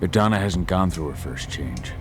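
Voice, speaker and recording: A man playing a stern, determined werewolf warrior and father in a video game, speaking tersely, with a gruff manner. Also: low voice, expressive, normal speed, faint music behind. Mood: grim, determined. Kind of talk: video game cutscene acting.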